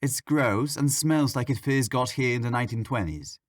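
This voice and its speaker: A man's voice: mid-range voice, normal speed, no room sound, studio quality, close to an everyday voice. A man playing a petulant, mischievous ghost who haunts a library, talking in a sassy, casual, complaining way in an ASMR audio roleplay. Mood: sassy, grumbling.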